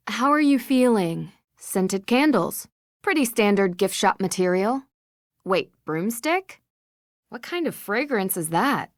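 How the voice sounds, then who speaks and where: A woman's voice: mid-range voice, no echo, studio quality. A woman playing an inquisitive, observant young detective in a video game. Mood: friendly, alert. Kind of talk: game voice acting, musing observations.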